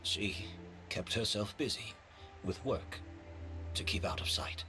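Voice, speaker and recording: A man playing a remorseful, courteous gentleman in a video game, speaking in a measured, earnest way. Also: on the low side, over music. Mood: somber. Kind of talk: dramatic game voice acting.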